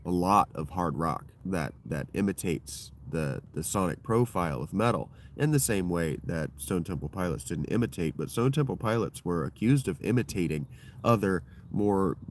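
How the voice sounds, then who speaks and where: A man with a deep voice hosting his own livestream, talking in a loose, digressive and profane monologue that keeps circling back on itself. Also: lively intonation, normal speed, slight background noise. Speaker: livestream host. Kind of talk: rambling solo livestream monologue.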